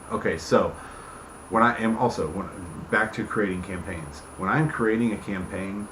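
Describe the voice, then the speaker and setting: A man giving a casual, unscripted explanation to viewers as a video host, halting and rambling, often breaking off mid-thought. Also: sits low. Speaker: tabletop game campaign creator hosting a video channel. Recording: echoey room with clear background noise.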